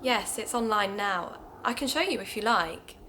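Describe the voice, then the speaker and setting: A woman's voice: mid-range voice, expressive, normal speed, pushed and bright, a little hiss or hum. A woman playing a keen amateur investigator who hosts a podcast, speaking with a British accent in a conversational, confiding way. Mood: polite, inquiring. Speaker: podcast host and narrator. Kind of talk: audio drama acted as first-person podcast narration.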